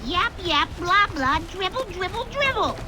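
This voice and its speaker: A woman's voice: extremely high pitch, very animated, unhurried, heavily acted. A woman voicing a haughty, theatrical, mocking villain in a video game. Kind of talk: character voice pack lines. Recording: loud game music behind.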